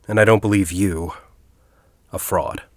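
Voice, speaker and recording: A man playing a composed, persuasive university headmaster in an audio drama, speaking in a formal, deliberate way. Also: low voice, a little mellow, no room sound, clean studio sound. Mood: grave, sincere. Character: composed, persuasive university headmaster, grieving a colleague, quietly calculating.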